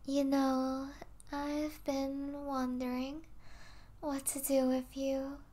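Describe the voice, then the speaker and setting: A high-voiced vampire princess, played by a woman, lingers very slowly over her words. She is regal and possessive, yet soft and doting, slipping into breathy asides as if in an intimate ASMR roleplay. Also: completely flat delivery. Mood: tender, confused. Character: possessive yet tender vampire princess, mistress of a human pet.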